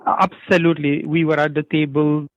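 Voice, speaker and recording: A middle-aged man with an Ethiopian accent answers interview questions haltingly, repeating words and stammering on word starts, on a narrow lo-fi recording. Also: a little high, flat delivery, a little hiss or hum. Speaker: newcomer program coordinator, interview guest.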